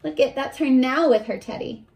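A woman in her twenties reading aloud and teaching young children in an animated, encouraging way, pausing to ask questions, recorded at arm's length indoors. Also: high-pitched. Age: 20s. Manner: animated, encouraging, asks questions and pauses for answers. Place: small furnished bedroom, indoors.

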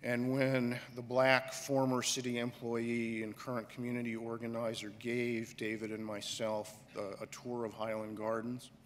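A middle-aged man giving a keynote speech from a podium on an auditorium stage, speaking deliberately and emphatically with pauses. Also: medium pitch, monotone. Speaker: conference director giving a keynote. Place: large auditorium stage, indoors. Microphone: podium microphone. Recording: reverberant hall with faint room noise.

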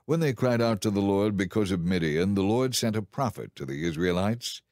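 Male audiobook narrator, lowish pitch, solemn measured scripture storytelling, dry studio sound. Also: lively intonation, darkish tone, no room sound. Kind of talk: dramatized scripted Bible narration.

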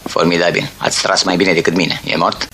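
An actor playing a cynical, self-assured police commissioner in an old film, speaking drily and with irony. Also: male voice, sits low, level tone, creaky, sharp tone, faint music behind, exaggerated voice. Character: sly middle-aged police commissioner, cynical and tough. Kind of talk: vintage film acting.

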